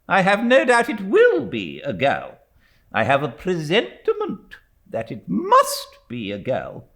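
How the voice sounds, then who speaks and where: A man's voice: high-pitched, wildly swooping pitch, slow pace, fairly bright tone, voice pushed up, full character voice. A man voicing a stern, domineering elderly aunt in a storybook reading, with a commanding, clipped and indignant manner. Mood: imperious.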